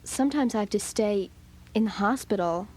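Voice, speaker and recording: A woman's voice: mid-range voice, moderate pace, a little mellow, dry recording, close to an everyday voice. An actress playing an earnest, self-conscious young teenage girl in a children's audio drama. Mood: confiding.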